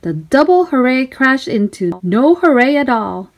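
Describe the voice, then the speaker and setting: Slow, animated woman reading a storybook. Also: high voice. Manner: expressive, deliberate phrasing for children. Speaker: storybook narrator. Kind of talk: children's read-aloud narration.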